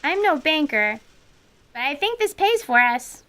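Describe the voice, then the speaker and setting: A woman's voice: sits high, big pitch swings, measured pace, pushed and bright, heavily acted. A woman voicing a bold, blunt little girl in a radio drama, speaking frankly. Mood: chatty. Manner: frank, chattery, plain-spoken.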